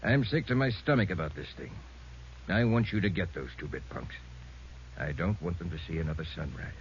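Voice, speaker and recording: Fast, low-voiced police chief. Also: male voice, lively intonation. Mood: grimly angry. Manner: brisk, authoritative. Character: gruff, resolute police chief giving orders. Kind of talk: 1950s radio police drama acting. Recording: dull, noisy old radio recording.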